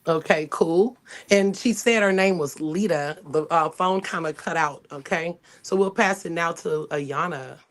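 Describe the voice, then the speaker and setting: A woman's voice: lowish voice, a little slow. Rambling woman hosting a live call panel. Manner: loose, rambling, with frequent restarts. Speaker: panel host. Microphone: video call.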